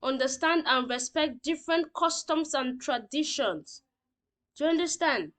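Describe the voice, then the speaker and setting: A woman's voice: high-pitched, animated, measured pace, fairly bright tone. A woman teacher reading out lesson points and explaining them simply, often checking that learners understand.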